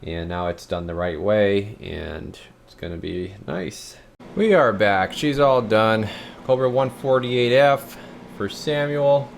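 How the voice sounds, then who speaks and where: A low-pitched man talks through repair work in a loose, folksy way. He thinks aloud and rambles informally, like a technician presenting his own video. A steady hum sits faintly behind his voice. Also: slow, gravelly. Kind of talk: casual rambling repair walkthrough. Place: radio repair workshop.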